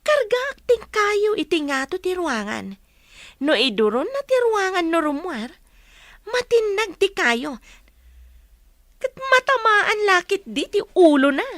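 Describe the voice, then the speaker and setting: A woman's voice: extremely high pitch, very animated, a little slow, a bit bright, no echo, studio quality, heavily acted. A woman with an Ilocano accent voicing a mischievous young girl plotting pranks in a comedy radio drama. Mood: tattling, plotting.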